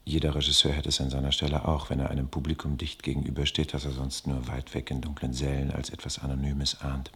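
A man's voice: a bass voice, completely flat delivery, fast talker, few pauses, a bit bright, slightly rough. A man narrating and commenting on a radio feature in a wry manner, fond of lists and wordplay.

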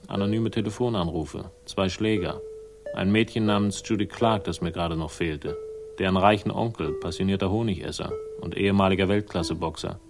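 Low-voiced, laconic hard-boiled detective. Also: male voice, creaky. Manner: laconic, sardonic. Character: dry, brooding hard-boiled male detective, defensive about a lost woman. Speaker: narrator and lead character. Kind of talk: 1960s German radio crime drama acting.

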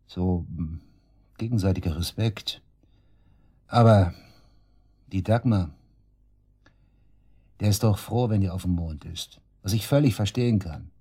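Radio crime drama: cornered suspect, low and mellow-dark, crude slang, scornful, naturalistic. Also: male voice, a little slow, long pauses, husky, heavily acted. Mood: scornful, sarcastic. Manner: sarcastic, crude, defiant, slangy. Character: brash, sneering male murder suspect under police questioning.

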